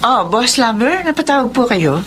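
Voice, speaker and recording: An actress playing a smooth-talking, scheming female recruiter in a radio drama, coaxing and persuasive. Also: a little high, big pitch swings, moderate pace. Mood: sly, assured. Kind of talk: Tagalog radio drama acting.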